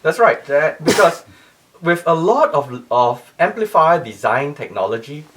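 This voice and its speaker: A man's voice: a little high, very animated, measured pace, fairly bright tone, distant mic. A man being interviewed as an expert guest, explaining technical audio design emphatically and repeating key phrases. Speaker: loudspeaker company CEO, interview guest.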